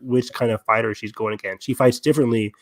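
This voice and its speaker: A man's voice: mid-range voice, steady tone, quick pace, no room sound. Man chatting casually, very dry sound. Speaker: podcast panelist. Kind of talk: casual fan discussion.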